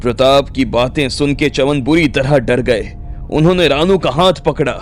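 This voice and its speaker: Male storyteller, medium pitch, reading scripted drama narration over a soft music bed. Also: normal speed.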